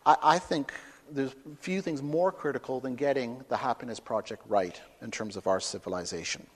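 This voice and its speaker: A man giving a public lecture and taking questions, speaking emphatically and building to loud, forceful peaks. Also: medium pitch, normal speed. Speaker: speaker at a talk. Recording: reverberant hall.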